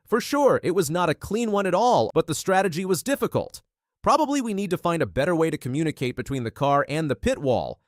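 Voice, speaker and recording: A man's voice: a little high, dry recording, studio quality. A man reading scripted news narration as a narrator.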